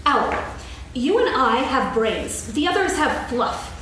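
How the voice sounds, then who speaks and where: A woman playing a self-important, bustling rabbit in a staged reading of a children's story, brisk and officious. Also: high voice, sing-song, fairly bright tone, heavily acted. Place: on stage in a hall, recorded from afar. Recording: echoey hall, distant, faint noise.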